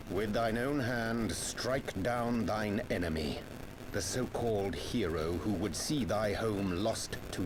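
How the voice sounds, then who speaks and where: Grave scholarly conspirator, low voice, archaic formal diction, measured, fantasy game, noisy stream audio. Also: male voice. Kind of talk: theatrical fantasy video game acting.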